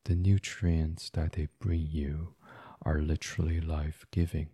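Male meditation guide for sleep audio: dark, mellow, soothing delivery, slow pace, intimate dry sound. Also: rumbling low, level tone, dry recording. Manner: gentle, soothing, unhurried, breathy. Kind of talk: soft guided sleep meditation, ASMR reading.

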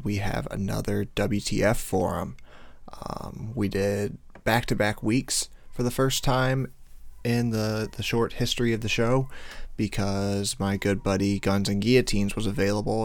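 A male podcast host with a slightly hoarse voice, talking casually in a rambling way with many fillers. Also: fairly low pitch, no room sound. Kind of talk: casual solo podcast intro.

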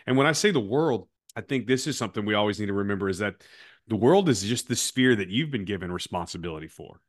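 Low-voiced man hosting an earnest interview. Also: animated, fast talker. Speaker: podcast host and coach. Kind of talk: conversational interview.

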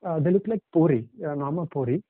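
A man with an Indian English accent, a native Telugu speaker, telling travel stories as a podcast guest in a halting, hesitant way. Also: a little high, animated, no echo. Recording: dry, tinny phone-like line.

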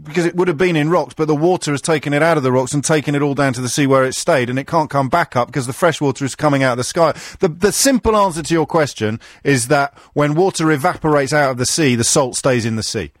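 A man's voice: a little high, fast talker, few pauses, no echo. A middle-aged man hosts a radio phone-in in a dry, teasing way and makes fun of himself. He talks into a studio mic, and the sound is close and dead, with no room around it. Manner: dry, teasing, self-mocking. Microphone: studio microphone.

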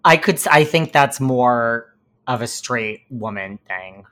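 A man's voice: mid-range voice, expressive, takes it slowly, pushed and bright. Chatty man with a slight New York accent. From Connecticut and New York. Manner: chatty, rambling, campy asides. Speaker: podcast guest. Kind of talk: casual interview chat.

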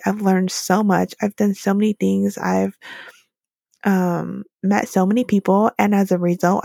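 A woman's voice: medium pitch, vocal fry, a little mellow, dry recording, clean studio sound. A woman in her late twenties hosting a solo podcast, talking candidly and reflectively with plenty of "like" and "um". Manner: chatty, candid, lots of "like" and "um" fillers. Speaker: podcast host.

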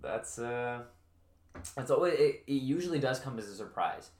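A man's voice: mid-range voice, lively intonation, normal speed, darkish tone, reverberant, slight background noise. A man in his early twenties from California, chatting and telling stories as a podcast co-host, laughing often. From California, USA. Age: 20s. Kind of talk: casual chat.

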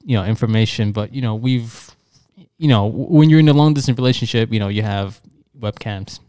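A man's voice: on the low side, brisk, mellow, clear, resonant tone, no echo, clean studio sound. A young adult man co-hosting a casual chat, talking hesitantly with many fillers and restarts. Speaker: podcast co-host.

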